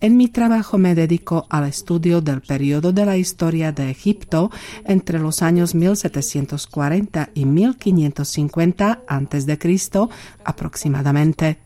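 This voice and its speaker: A woman reading a first-person voice-over translation of an interview answer in a calm, even, explanatory way. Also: low-pitched, normal speed.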